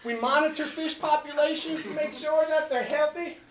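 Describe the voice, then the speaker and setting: A woman's voice: sits high, steady tone, normal speed, close to an everyday voice. A woman voicing an irreverent, breezy fish sock puppet in a comic show, with a flippant, folksy manner. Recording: echoey room, distant mic, noisy.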